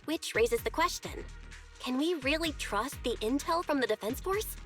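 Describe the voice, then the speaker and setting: A woman playing a polite, probing female agent in a video game, speaking deliberately. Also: high-pitched, animated, a bit bright. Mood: coolly doubtful. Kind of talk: scripted video game voice acting. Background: game music.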